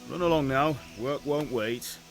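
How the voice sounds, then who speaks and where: A man's voice: medium pitch, measured pace, natural voice. A man playing a middle-aged village blacksmith, firm and plain-spoken as he orders his son about in a video game cutscene. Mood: teasing, bossy. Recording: music behind the voice.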